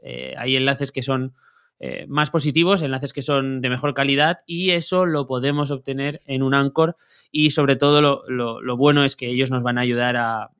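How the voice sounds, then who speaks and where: Man, podcast co-host, smooth clear voice, relaxed, hesitant fillers. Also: medium pitch. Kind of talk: ad read and casual interview.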